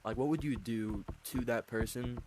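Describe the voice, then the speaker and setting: A thirteen-year-old boy with an unusually deep voice, hosting a casual interview with fillers and long rambling questions. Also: moderate pace, noisy background. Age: 13, teenage boy. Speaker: host interviewing players.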